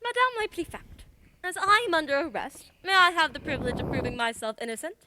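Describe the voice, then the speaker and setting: A woman's voice: high voice, lively intonation, a little fast, background noise. A woman acting a confident, precise and assertive female detective in a radio play. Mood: poised, pointed. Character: confident female detective, sharp and methodical, accused of murder.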